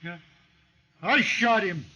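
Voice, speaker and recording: High, dragging drunken gunman. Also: pushed and bright, husky, heavily acted. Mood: drunk, defiant. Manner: boastful, belligerent, halting drawl. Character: drunken, defiant young-blooded gunman in the Old West. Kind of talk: 1950s radio western acting. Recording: dull vintage 1950s radio recording.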